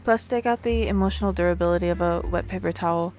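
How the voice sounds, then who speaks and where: A woman's voice: lowish voice, quick pace, a little mellow, soft background music, lightly acted. Bubbly, rambling young-hearted woman on a date, acted. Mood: playful, chatty. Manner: chatty, rambling, giggly, hesitant. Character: bubbly, playful, awkward woman at speed dating. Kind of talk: ASMR roleplay, natural conversational acting to the listener.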